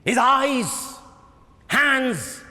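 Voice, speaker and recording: A man with an Indian accent playing a fiery, imperious theatre director in a declamatory stage reading, pausing dramatically and stressing key words. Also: very high voice, animated, sharp tone, pitched above the performer's own voice, full character voice. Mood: impassioned. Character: fiery, imperious male theatre director haranguing his actors. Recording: reverberant hall.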